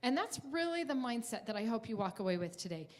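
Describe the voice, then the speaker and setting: A woman's voice: fairly high pitch, sing-song, fast. A woman presenting a conference workshop, talking in an encouraging, motivational way to the room.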